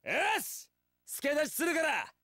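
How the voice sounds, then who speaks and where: A man's voice: extremely high pitch, huge pitch swings, slow, pushed and bright, no echo, studio quality, heavily acted. An actor playing a carefree young wandering swordsman in an action game, breezy, theatrical and swaggering, a playful romantic brawler. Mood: breezy defiance. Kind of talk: Japanese action game voice acting.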